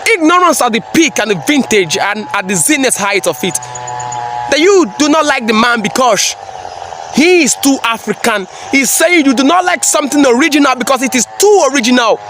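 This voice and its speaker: A man's voice: extremely high pitch, sing-song, moderate pace, over music. A man giving a preachy, emphatic reaction commentary, repeating phrases for effect. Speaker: commentary vlogger.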